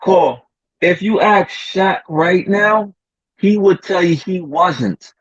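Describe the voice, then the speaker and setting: A man with a fairly high voice talks basketball in a casual debate, hesitating and trailing off before pressing his point. His voice sounds dry and a little dull. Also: slow pace, fairly bright tone, dry recording. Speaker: podcast co-host.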